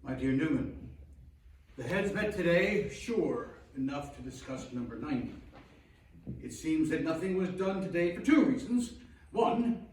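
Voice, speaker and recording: A man's voice: a little high, big pitch swings, a little slow, darkish tone. An actor playing a concerned Victorian churchman in a theatrical reading of a letter to a friend. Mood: wry, urgent. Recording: distant echoey hall, some noise.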